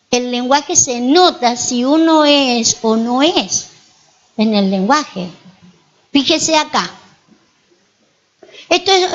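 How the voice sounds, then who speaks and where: High-voiced Argentine woman preaching. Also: lo-fi sound. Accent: Argentine Spanish. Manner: storytelling with frequent rhetorical questions to the congregation. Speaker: pastor. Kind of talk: sermon. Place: church.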